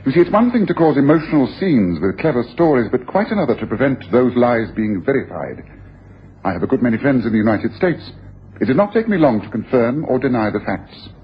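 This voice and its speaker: A man's voice: medium pitch, sing-song, brisk, close to an everyday voice. A man acts a self-assured detective with a theatrical, domineering delivery. His voice swings widely as he makes his points, in a dull, noisy old radio broadcast. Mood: accusing. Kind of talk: 1980s radio drama acting.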